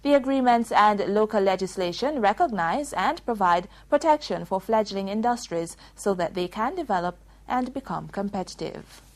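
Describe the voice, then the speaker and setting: A woman with a Jamaican accent reading scripted news bulletins in a crisp, formal delivery. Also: mid-range voice, animated, normal speed, few pauses. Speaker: news presenter.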